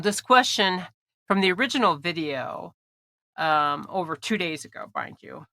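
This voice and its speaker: A woman's voice: lowish voice, pushed and bright. A woman hosting a podcast in casual chat, a bit congested, with lots of ums, restarts and laughs. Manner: chatty, laughs often, frequent ums and restarts. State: congested from allergies. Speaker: podcast host.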